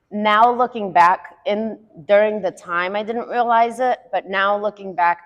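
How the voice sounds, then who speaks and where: A woman speaks candidly on a podcast, her voice slightly low and full of life. She laughs easily and speeds up when she vents. The sound carries a long, hall-like reverb. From Puerto Rican heritage. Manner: animated, laughs often, quick bursts when venting. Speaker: podcast co-host. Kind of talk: candid casual chat.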